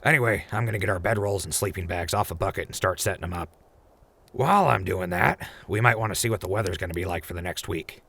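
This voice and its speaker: A man playing a practical, friendly old wasteland traveler in an audio drama, with a raspy, folksy voice. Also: low voice, fast, fairly bright tone, full character voice. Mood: easygoing, wry. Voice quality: gravelly, raspy. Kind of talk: post-apocalyptic audio drama acting.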